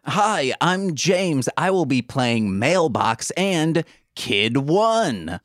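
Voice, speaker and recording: Man, podcast co-host, jokey, lively pitch, slow, dry sound. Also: no echo. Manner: jokey, animated. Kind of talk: casual podcast chat.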